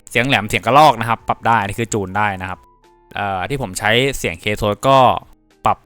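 A young man presenting a software tutorial casually, mixing polite phrasing with crude slang. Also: lowish voice, a little fast, clean tone, dry recording, faint music behind. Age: young adult.

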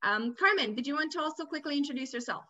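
A woman's voice: high voice, lively intonation, fast, bright, forceful tone, dull audio. A young woman from Romania giving a webinar presentation as a student recruitment officer, friendly and repeating words as she searches for them. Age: young adult. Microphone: video call.

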